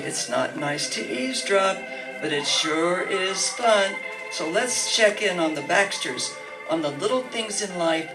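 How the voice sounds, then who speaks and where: Guy doing an old radio show announcer, highish voice, music playing behind, slightly distant.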